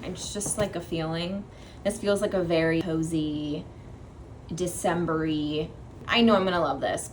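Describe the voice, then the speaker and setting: A woman's voice: fairly low pitch, slight background noise. A woman chatting casually as a book vlogger, in an informal, rambling style where she often interrupts herself. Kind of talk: casual chatty vlog to camera.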